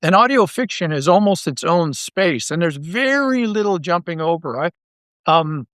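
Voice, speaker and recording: A middle-aged man talking casually as an interview guest, thinking aloud with halting, repeated phrases. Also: high-pitched, lively intonation, fairly bright tone, no echo, clean studio sound. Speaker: guest, audio drama writer and creator.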